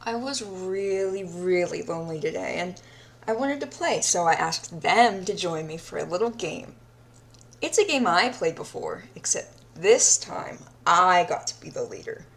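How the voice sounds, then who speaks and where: Woman acting a low-voiced, deranged lovestruck captor. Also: expressive, measured pace, creaky, a bit bright, exaggerated voice. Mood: wistful, playful. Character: deranged, obsessive yandere captor, sadistic yet childlike and lovestruck. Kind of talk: audio roleplay monologue, recorded diary confession.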